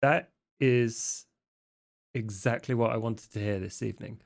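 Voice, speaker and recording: A man acts out a sly vampire wheeler-dealer for a roleplay podcast. His mid-range voice feels its way through slangy, wry sales patter, stopping and starting as he pitches. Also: measured pace, creaky. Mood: wry, agreeable. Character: sly, slangy male vampire hustler named Sean. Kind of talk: improvised tabletop roleplay.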